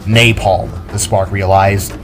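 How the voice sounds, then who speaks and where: A man narrating an action story as a dramatic reading, with expressive delivery. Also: fairly low pitch, slow pace. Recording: music under the reading.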